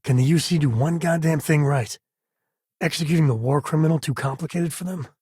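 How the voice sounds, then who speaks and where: An actor voicing a roguish, battle-worn spacefaring frontier ranger in a video game, plain-spoken and folksy with a husky voice. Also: male voice, fairly high pitch, brisk, warm tone, no room sound, clean studio sound. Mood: stern, angry. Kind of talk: scripted video game voice lines.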